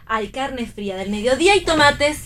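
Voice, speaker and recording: An actress playing a casual, blunt young daughter in radio theatre. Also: female voice, sits high, animated, moderate pace, fairly bright tone.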